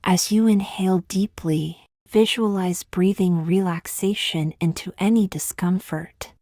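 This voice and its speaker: A woman with a slightly low voice leads a guided meditation. Her phrasing is gentle, slow and measured, with long pauses between instructions. The sound is dry and close to the mic. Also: fairly even intonation, dry recording.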